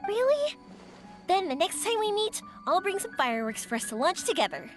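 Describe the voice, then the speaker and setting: A woman's voice: very high voice, big pitch swings, moderate pace. A woman voicing a bubbly, friendly young fireworks maker with cheerful delivery in an English game dub. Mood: cheerful, welcoming. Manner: cheerful, welcoming. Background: faint music.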